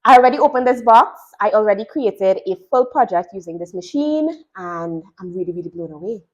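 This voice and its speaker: Trinidadian woman, sewing teacher, chatty step-by-step unboxing talk, mid-pitched voice. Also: expressive, brisk, muffled recording. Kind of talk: product unboxing and tutorial.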